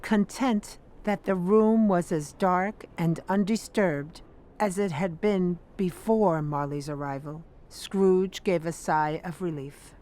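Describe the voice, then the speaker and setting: A woman's voice: fairly low pitch, slow pace, warm tone. A woman giving scripted storybook narration for an audio drama.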